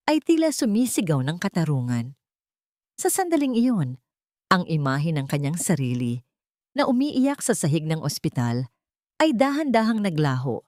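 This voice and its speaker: A woman reading a scripted dramatic story as narrator, in a measured, solemn manner. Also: on the low side, darkish tone, no echo, studio quality.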